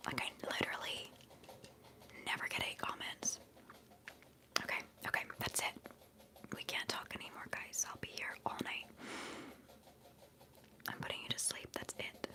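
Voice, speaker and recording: A woman's voice: normal speed, pauses often. A young woman from the United States whispering for an ASMR video at home, chatting in a rambling, giggly way full of "like" into a close mic. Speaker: ASMR creator. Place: her bedroom at home.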